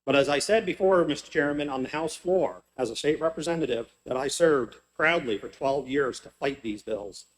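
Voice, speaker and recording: A man's voice: a little high, normal speed, few pauses, rough recording. A man, a former state representative, giving emphatic, insistent testimony to a legislative committee. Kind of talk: public testimony at a legislative hearing.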